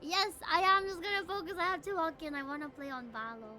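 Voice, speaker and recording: A woman live streamer chatting casually and politely with her viewers in a soft-hearted way. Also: very high-pitched, fairly even intonation, quick pace. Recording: clearly noisy stream audio.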